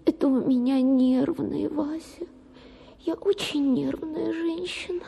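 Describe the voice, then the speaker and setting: An actress playing a self-pitying, capricious young wife in a radio drama, with an affected, sighing, melodramatic manner. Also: female voice, high voice, takes it slowly, vocal fry, warm tone, heavily acted. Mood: plaintive, self-pitying. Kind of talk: dramatized literary short story for radio.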